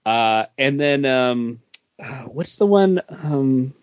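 A man's voice: medium pitch, expressive, slow, smooth, dry recording, muffled recording. A man co-hosting a podcast in casual, enthusiastic and informal geek chat. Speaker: podcast co-host. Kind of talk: casual pop-culture chat.

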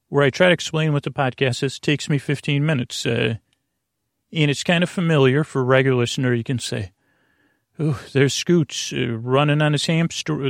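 Creaky-voiced man rambling through a bedtime podcast. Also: mid-range voice, no echo, studio quality. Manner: meandering, halting, digressive, trailing off and self-interrupting. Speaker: sleep podcast host.

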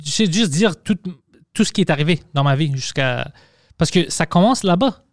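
A man speaking French with an English-tinged accent, pitched a little high for a man. His podcast talk is loose and profane, full of stops and restarts, and the sound is dry and close. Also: lively intonation, normal speed, dry recording. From Quebec. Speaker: podcast host, stand-up comedian. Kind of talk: casual podcast chat.

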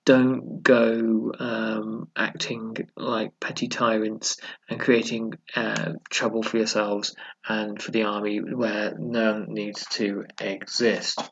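A man hosting a history podcast, explaining events unscripted as he thinks aloud, halting often and reading out book passages now and then. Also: fairly low pitch, little pitch movement, a bit bright, a little hiss or hum. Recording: slightly dull lo-fi recording.

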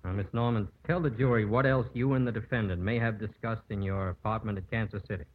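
Actor playing an earnest American lawyer, tinny old radio. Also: male voice, lowish voice, fairly even intonation, quick pace, a little hiss or hum, natural voice. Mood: formal, probing. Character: earnest, embattled male defense lawyer in a small town. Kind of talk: old-time American radio drama acting.

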